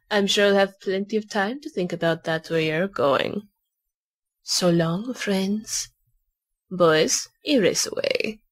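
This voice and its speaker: A woman voicing a cool, condescending female blackmailer with a deliberate, taunting delivery in an amateur game fandub. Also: mid-range voice, soft background music. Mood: quietly menacing.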